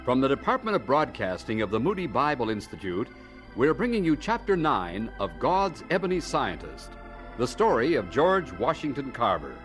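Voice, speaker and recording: A man's voice: mid-range voice, big pitch swings, normal speed. A man working as announcer and narrator of a radio drama, reading scripted lines over organ music.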